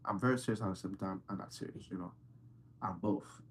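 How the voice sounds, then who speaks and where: A man's voice: sits low, brisk, slight background noise. A man hosting a casual livestream, answering viewers' questions in an insistent, playful way and repeating himself for emphasis. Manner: playful, teasing. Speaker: livestream host answering chat.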